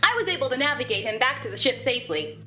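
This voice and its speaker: A woman's voice: on the high side, sing-song, quick pace, sharp tone, full character voice. A woman playing an eager, matter-of-fact female robot helper with formal, precise phrasing in a tabletop game.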